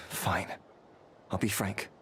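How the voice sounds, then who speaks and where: A man's voice: drawn-out words, sharp tone. An actor voicing an exasperated, earnest man in a video game, with emphatic, dramatic delivery. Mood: probing. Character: exasperated, earnest male colleague, openly angry yet caring. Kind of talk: English video game voice acting. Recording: game audio with music behind.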